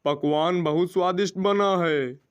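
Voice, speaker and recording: A man's voice: high-pitched, a little slow, smooth, highs cut off. A man playing a composed, formal bird king in a children's cartoon story. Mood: calm. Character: male bird king giving calm orders.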